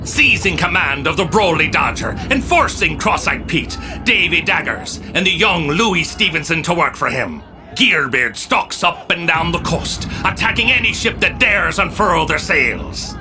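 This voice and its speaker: A man's voice: on the high side, big pitch swings, measured pace. A man narrating a scripted adventure tale in a dramatic, theatrical and ominous storytelling style. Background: music.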